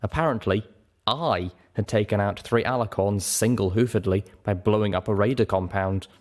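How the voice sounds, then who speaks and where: A man's voice: lowish voice, normal speed. A man narrating a story in the first person as a dramatic scripted reading. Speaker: story reader.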